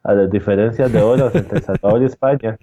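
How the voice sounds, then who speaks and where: A man talking conversationally as a guest, reflective and halting, often checking for agreement as he tells stories. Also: lowish voice, mellow. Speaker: guest commentator. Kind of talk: conversational sports storytelling.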